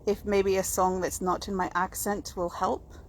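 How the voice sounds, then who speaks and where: A woman's voice: medium pitch, level tone, normal speed. Woman with a Scottish-sounding accent, noisy recording. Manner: meandering, self-deprecating asides. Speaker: home vlogger. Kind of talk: casual rambling chat. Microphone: handheld camera or phone. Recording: clear background noise, handheld home video.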